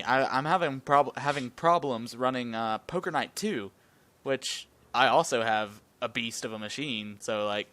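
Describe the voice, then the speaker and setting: A man's voice: mid-range voice, fairly bright tone, smooth. A man with a Southern US accent, co-hosting a casual gaming podcast, often stumbling and repeating words as he steers the topics. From Southern United States.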